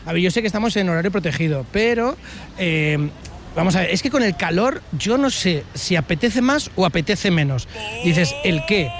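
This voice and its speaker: A man's voice: high-pitched, animated, moderate pace, fairly bright tone, slight background noise. A man hosting a radio show, doing playful, teasing street interviews outdoors on a city street with a mobile unit. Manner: playful and teasing, with many fillers.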